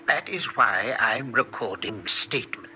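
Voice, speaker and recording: A man's voice: mid-range voice, lively intonation, unhurried, bright, forceful tone. A man playing an earnest, uneasy scientist in an old-time radio drama, speaking formally and precisely. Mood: confessional. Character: earnest, uneasy scientist giving a recorded confession. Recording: dull, noisy old radio recording.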